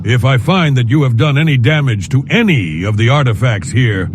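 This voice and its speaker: A man's voice: medium pitch, expressive, moderate pace, noisy background, natural voice. Man acting a pompous scholar, wildly swooping delivery. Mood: threatening. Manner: pompous, precise, disdainful. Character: pompous, haughty male scholar leading an expedition. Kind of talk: scripted video game character dialogue.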